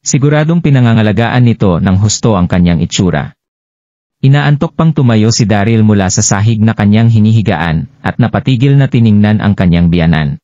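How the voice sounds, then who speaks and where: A man's voice: lowish voice, lively intonation, brisk, dark tone. A Filipino man narrating a scripted, dramatized story as its storyteller. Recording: dull lo-fi, roomy echo.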